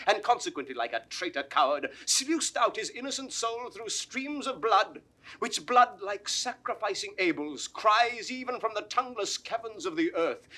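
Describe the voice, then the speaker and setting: An actor playing a fierce, proud young noble accuser in declamatory Shakespearean verse. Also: male voice, high-pitched, breathy edge, full character voice. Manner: declamatory, forceful, ringing delivery. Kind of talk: classical Shakespearean verse acting, 1960s recording.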